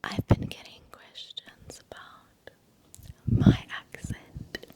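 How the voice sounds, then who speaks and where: A South African woman whose first language is Afrikaans, speaking an ASMR voiceover in a soft, gentle, unvoiced whisper into a close mic that moves from ear to ear. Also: takes it slowly. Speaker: ASMR creator. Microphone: close sensitive mic, sounds moving ear to ear.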